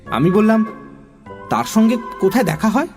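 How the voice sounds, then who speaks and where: Male narrator for a children's audio story: high voice, very animated intonation, expressive and puzzled in dialogue, music behind. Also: normal speed.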